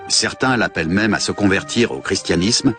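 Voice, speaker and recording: Deep male voice-over, measured documentary read, music underneath. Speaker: documentary narrator. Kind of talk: scripted documentary narration. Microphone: studio voice-over mic. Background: background music.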